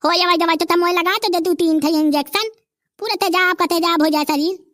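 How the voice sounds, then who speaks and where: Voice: very high-pitched, fairly even intonation, a little fast, bright, forceful tone. A performer voicing a young village grandson with a Bundelkhandi accent, pleading and teasing in a cartoon comedy.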